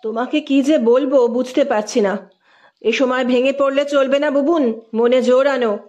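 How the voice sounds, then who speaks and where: A woman's voice: high-pitched, fairly even intonation, normal speed, a little mellow, close to an everyday voice. A woman voicing a concerned, tender stepfather in an audio story, in a grave and sympathetic manner. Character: concerned, tender married man, anxious stepfather.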